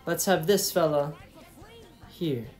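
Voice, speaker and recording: Man playing jolly old man, grand, slow, proclaiming, storybook, light music. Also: on the high side, a little mellow. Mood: genial. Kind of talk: storybook character reading.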